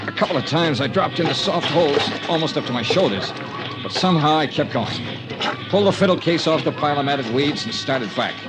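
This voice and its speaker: Actor as animated hardboiled radio detective man. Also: medium pitch, close to an everyday voice, poor audio. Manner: wry, clipped, hardboiled. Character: dogged male insurance investigator, wry hardboiled detective. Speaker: narrator and lead detective. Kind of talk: 1950s radio detective drama acting, first-person narration. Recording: vintage radio recording with music behind.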